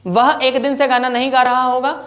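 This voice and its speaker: A woman's voice: high voice, little pitch movement, moderate pace, fairly bright tone. A woman with an Indian accent teaches spoken English as a grammar lesson, drilling repeated example sentences.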